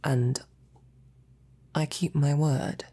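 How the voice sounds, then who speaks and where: A teasing, devoted female knight played by a woman speaks in intimate audio roleplay. Her voice is dark and husky, often dropping to a breathy whisper. She takes her time over courtly, flirtatious phrases. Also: deep voice, steady tone, very slow, heavily acted. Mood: reassuring, earnest.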